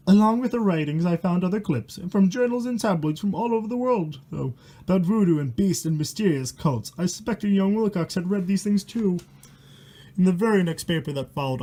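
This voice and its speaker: A man with a high-pitched voice reads a storybook aloud. His delivery is theatrical and mock-horrified, moving between narration and loose, crude ad-libbed commentary. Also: darkish tone. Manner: dramatic, mock-horrified, crude swearing asides. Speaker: storyteller reading a book aloud. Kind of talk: storybook reading with casual ad-lib commentary.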